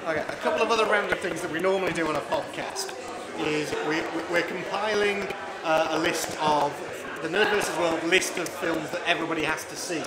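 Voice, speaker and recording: A man's voice: on the high side, a little fast, a loud crowd. A man hosting a podcast in a casual, conversational way. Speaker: podcast host. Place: busy pub, indoors. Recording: echoey pub, loud background noise.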